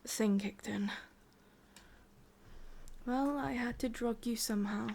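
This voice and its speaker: A woman's voice: a little high, slow pace, gravelly, darkish tone, a little hiss or hum. A woman with an English accent playing a possessive, sadistic ancient witch in a whispered ASMR roleplay, coaxing and controlling. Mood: possessive, teasing.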